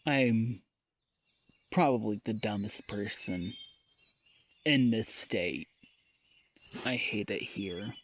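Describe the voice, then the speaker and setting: A young man recording a personal audio diary, talking in a weary, halting way that trails off into sighs. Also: fairly low pitch, slight background noise.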